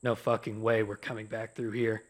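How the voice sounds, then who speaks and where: A man's voice: lowish voice, level tone, normal speed, pitched above the performer's own voice, lightly acted. A man voicing a wary, tense young male musician, blunt and uneasy, in a horror story.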